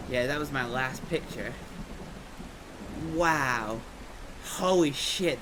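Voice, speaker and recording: A man streaming a game, hoarse and gravelly from a cold, exclaiming and swearing excitedly as he plays. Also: on the high side. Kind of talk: live gameplay commentary. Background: storm chase game sounds, wind and vehicle.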